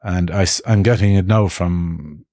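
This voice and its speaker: Irish man in his fifties, very deep mellow voice, reflective guest, dry close sound. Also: no room sound. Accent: Irish, Connemara. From Ireland. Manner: reflective, halting, with frequent restarts. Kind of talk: personal life story in conversation.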